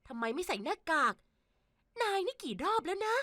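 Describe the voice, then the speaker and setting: A woman voicing an earnest, bossy schoolgirl class leader in an animated cartoon. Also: extremely high pitch, a little fast, dry recording, clean studio sound, heavily acted. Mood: exasperated, scolding. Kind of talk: animated cartoon dialogue and narration.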